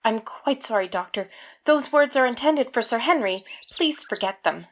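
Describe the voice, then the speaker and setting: A woman playing an earnest, guarded high-voiced sister. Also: lively intonation, normal speed. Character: earnest, guarded naturalist's sister warning of danger. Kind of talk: audio drama acting.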